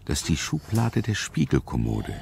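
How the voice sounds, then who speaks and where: A man narrating a scripted suspense story in a measured, ominous manner. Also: sits low, huge pitch swings, creaky, darkish tone, slight background noise.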